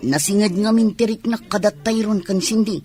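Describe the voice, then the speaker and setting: An actress playing an outspoken, teasing woman in a radio comedy drama, with an expressive, emphatic delivery. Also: mid-range voice, normal speed, close to an everyday voice. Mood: teasing, friendly.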